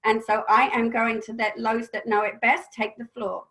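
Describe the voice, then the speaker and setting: A woman hosting an online event, introducing guests in a chatty, relaxed way with a few uhs. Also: on the high side, normal speed. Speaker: webinar host. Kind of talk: casual introduction.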